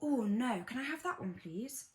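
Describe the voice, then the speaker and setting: A woman's voice: on the high side, animated, normal speed, natural voice. A woman voicing a curious young child who listens to a bedtime story with eager, inquisitive interjections. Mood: eager, pleading.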